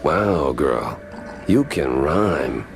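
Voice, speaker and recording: World-weary private-eye mouse for a noir game: low, dry sarcasm, lively inflection. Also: male voice, very slow, vocal fry, full character voice. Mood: wry disbelief. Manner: deadpan, sarcastic muttering. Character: wry, world-weary hard-boiled mouse private detective. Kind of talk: noir cartoon video game acting.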